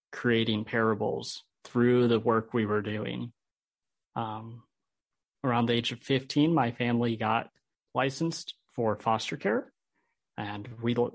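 A man's voice: mid-range voice, gravelly, dry recording. A man with an American accent, a podcast guest telling his personal story in an interview. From Holland, United States.

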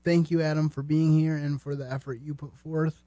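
A man hosting a podcast in an earnest, conversational style, speaking sincerely in measured short phrases. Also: a little high, little pitch movement, dark tone, dry recording. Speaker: podcast host.

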